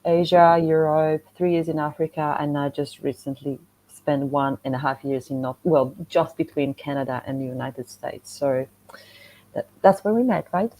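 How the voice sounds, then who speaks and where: A woman's voice: low-pitched, fairly even intonation, normal speed, dark tone, low-quality recording. A middle-aged woman with a Polish accent, chatting casually and animatedly as she tells stories as a podcast guest. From Poland. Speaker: podcast guest, motorcycle traveller and content creator. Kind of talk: casual conversational storytelling.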